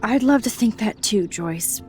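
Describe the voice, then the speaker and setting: A young woman with an American accent playing an introspective, self-doubting teenage girl in a video game, soft-spoken, understated and casual. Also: mid-range voice, lively intonation, measured pace, natural voice. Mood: hesitant, wistful. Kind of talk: video game acting, quiet inner monologue and dialogue. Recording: music under the voice. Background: background music.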